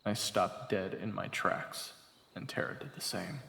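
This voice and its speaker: A man's voice: on the low side, unhurried, creaky, bright, forceful tone. A man giving dramatic first-person scripted narration for an audio story, as its narrator. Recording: echoey long reverb, music behind. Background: background music.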